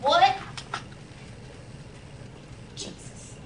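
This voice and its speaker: Elderly woman acted by a woman, flustered and blunt stage monologue, heard distantly in an echoey room. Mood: flustered, refusing. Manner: halting, blunt, easily flustered. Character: reluctant, embarrassed elderly woman recalling her youth. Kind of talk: theatrical monologue performed on stage. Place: performance room, recorded from the back. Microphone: camera at back of room. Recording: roomy hall, distant mic, noisy camera audio.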